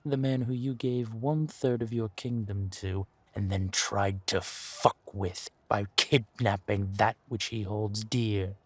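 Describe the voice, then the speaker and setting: A man playing a ruthless, mocking warrior villain in a fantasy audio drama, with a husky voice and a deliberate, taunting delivery. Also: fairly low pitch, fairly even intonation, no room sound. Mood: cold menace.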